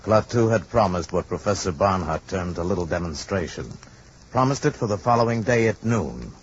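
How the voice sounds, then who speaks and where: A man's voice: sits low, lively intonation, normal speed, background noise. A man narrating an old-time radio drama in a theatrical storytelling style. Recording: muffled vintage radio broadcast. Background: dramatic music.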